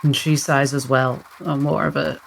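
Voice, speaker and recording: A woman with a creaky voice roleplays in a tabletop game, switching between narration and her character's words. There is faint ambient noise behind her. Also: rumbling low, flat delivery, normal speed.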